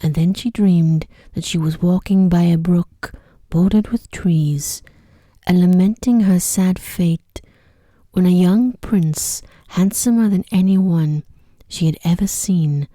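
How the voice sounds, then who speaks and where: Soft, dark-voiced whispery woman storyteller. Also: lowish voice, slow, no echo, clean studio sound. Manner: gentle, hushed storybook reading. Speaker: ASMR storyteller. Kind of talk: ASMR sleep story narration.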